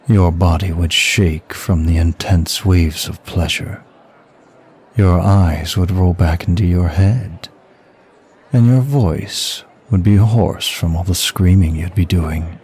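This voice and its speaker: Deep, slow-talking seductive demon played by a man. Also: darkish tone, husky, full character voice. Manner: suave, teasing, formal flirtatious phrasing. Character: seductive, smug demon of lust at a masquerade. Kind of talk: intimate ASMR audio roleplay to the listener.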